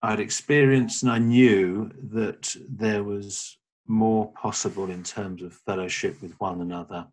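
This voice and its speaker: A middle-aged man from England speaking as a guest on a video call, measured and soft-spoken, often trailing off. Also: on the low side, steady tone. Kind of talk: casual conversation.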